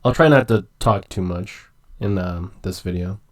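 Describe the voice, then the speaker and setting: A man giving casual, low-key let's-play commentary in sparse short remarks. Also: normal speed. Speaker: game streamer. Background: game music.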